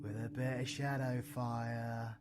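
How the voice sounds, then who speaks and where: A man's voice: medium pitch, flat delivery, slow pace, loud music behind the voice, natural voice. A British man playing a cheeky, flamboyant supervillain in an audio roleplay, with a slangy, theatrical manner. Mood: soft, sincere. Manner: theatrical, slangy, swinging from soft to shouting. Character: cheeky, flamboyant young male supervillain, affectionate and chaotic.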